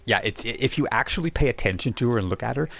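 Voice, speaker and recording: A man narrating a live tabletop role-playing game as game master, improvising scenes and haltingly searching for words. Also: medium pitch, expressive, vocal fry, fairly bright tone.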